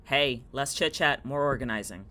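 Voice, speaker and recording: An actor playing a weary, dry, bossy teenage girl in an audio drama, speaking in a curt deadpan. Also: medium pitch, normal speed, close to an everyday voice. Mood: bossy, irritated. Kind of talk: naturalistic audio drama acting with monologue.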